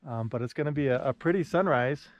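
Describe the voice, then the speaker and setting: A man's voice: medium pitch, quick pace, no echo. A man chatting casually and jokingly outdoors as a guest on a vlog. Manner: joking, informal. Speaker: hiking companion and guest. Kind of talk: casual chat. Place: outdoors in cold mountain country.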